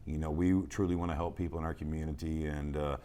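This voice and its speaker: A man's voice: rumbling low, little pitch movement, fast, mellow. A middle-aged man from Missouri, a guest on a local talk show, speaking with many fillers and restarts. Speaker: guest, charity council president. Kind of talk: casual interview chat.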